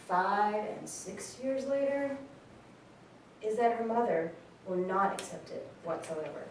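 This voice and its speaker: An actress as an earnest woman telling a story on stage with deliberate emphasis. Her voice is fairly high, carried through a heavily echoing room to a distant mic. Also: darkish tone, natural voice. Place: stage in a reverberant room, recorded from afar. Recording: very echoey hall, far mic, faint noise.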